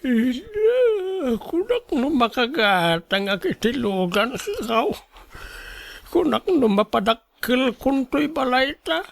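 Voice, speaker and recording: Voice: high-pitched, sing-song, slow, vocal fry, no echo, heavily acted. An actor with an Ilocano accent playing a stubborn, despairing gambler in a radio drama, lamenting a loss in a wailing way. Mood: tearful, despairing. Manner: wailing, lamenting, repeats regrets. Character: despairing, stubborn person grieving money lost to gambling.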